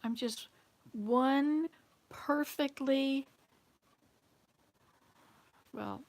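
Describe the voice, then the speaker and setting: A woman's voice: high voice, extremely slow. A woman with a Southern US accent chatting casually and answering viewer comments in a rambling way. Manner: rambling, jumps between thoughts. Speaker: livestream host.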